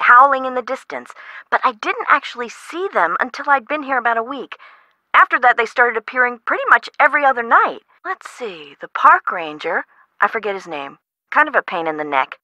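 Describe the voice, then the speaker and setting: Casting: dramatic, easily rattled woman homeowner for a game; high, very bright voice, colloquial delivery. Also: no echo, heavily acted. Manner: emotive, expressive, colloquial. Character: frightened, dramatic woman homeowner, chatty and friendly. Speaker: voice actress in an adventure video game. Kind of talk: game character dialogue acting.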